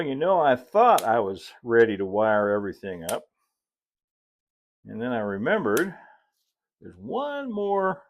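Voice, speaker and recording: A man, narrating a hands-on hobby tutorial in a relaxed, folksy way, as if thinking aloud while he works. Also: on the low side. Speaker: hobbyist presenter of model railroad build videos.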